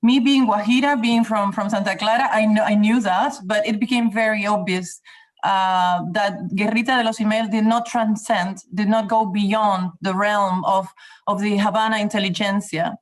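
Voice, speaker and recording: Cuban woman, native Spanish speaker, medium pitch, thoughtful and halting, posing an academic question. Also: fairly even intonation. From Cuba. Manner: halting, thoughtful, self-correcting. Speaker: audience member asking a question. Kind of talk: spoken question at an online academic talk. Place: video call.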